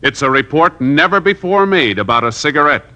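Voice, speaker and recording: A man announcing a 1950s radio show and its sponsor in a crisp, formal, measured way. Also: a little high, expressive, sharp tone.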